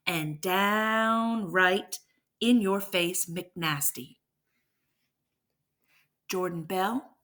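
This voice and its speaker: A woman's voice: mid-range voice, pushed and bright. A woman teacher reading a verse story aloud with rhythmic, emphatic delivery and brief explanations.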